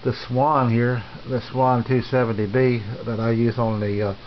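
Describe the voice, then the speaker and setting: A middle-aged or older man giving a casual, unscripted tour as a hobbyist, speaking in a halting, unhurried, plain way. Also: medium pitch, flat delivery. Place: small home radio shack, indoors. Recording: dull lo-fi camera audio, faint noise.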